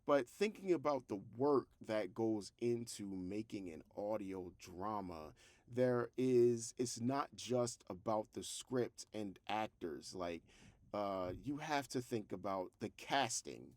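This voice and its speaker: A man's voice: fairly low pitch, animated, takes it slowly, a little mellow, no room sound. A man talking casually as a vlog host, conversational and rambling, with a self-deprecating way of telling things. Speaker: host, fiction podcast creator. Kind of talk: casual vlog talk to camera.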